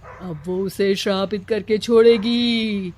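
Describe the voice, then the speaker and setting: A woman's voice: medium pitch, steady tone, slow pace, a little mellow, no room sound, natural voice. A woman voicing an alarmist fake holy man in a cartoon, with dramatic pronouncements. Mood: ominous.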